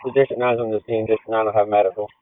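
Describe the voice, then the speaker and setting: A man's voice: fairly low pitch, little pitch movement, very fast. A man working as an emergency responder, giving terse reports over a two-way radio. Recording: tinny narrowband two-way radio traffic.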